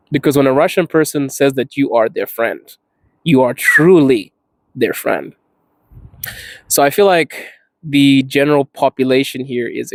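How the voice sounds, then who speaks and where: A man's voice: fairly high pitch, a little mellow, no echo. A man from Zimbabwe talking candidly in a casual vlog, laughing often and stretching words for emphasis. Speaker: podcast host. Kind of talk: casual personal vlog talk.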